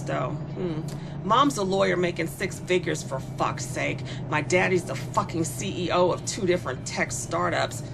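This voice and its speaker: A woman playing a spoiled, bored young female killer in an audio horror monologue, taunting and conversational, with gleeful, drawn-out musing. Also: on the low side, creaky, background noise. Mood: flippant.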